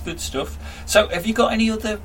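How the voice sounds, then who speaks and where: A man's voice: high voice, a little fast, fairly bright tone, slight background noise, poor audio. A man hosting a podcast interview, chatting casually and encouraging his guest with brief affirmations. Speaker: podcast host.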